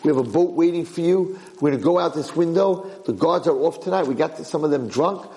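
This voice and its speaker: A man's voice: lowish voice, animated, normal speed, gravelly, darkish tone. A man voicing a loyal old male servant in a told story, urging and coaxing in short, insistent phrases. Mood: urgent. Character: urgent, loyal old male servant rescuing a lost prince.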